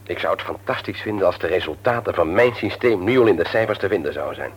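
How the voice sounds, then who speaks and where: An actor in a Dutch radio play, playing a self-pitying, self-satisfied husband and office boss with a husky voice. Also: male voice, low-pitched, expressive, fast talker, a little hiss or hum.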